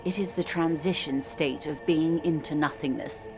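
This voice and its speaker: Actress as a low-voiced, worldly, composed woman. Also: steady tone, natural voice. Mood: grave, measured. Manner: measured, eloquent, calls the listener dear and darling. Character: worldly, composed older woman explaining cosmic dread, gently condescending. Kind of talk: dramatic video game dialogue. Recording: music playing under the voice.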